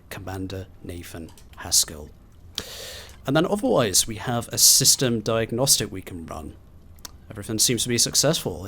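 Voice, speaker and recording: Expressive man with widely swinging pitch. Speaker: gaming video host. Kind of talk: commentary and dramatic reading of game documents.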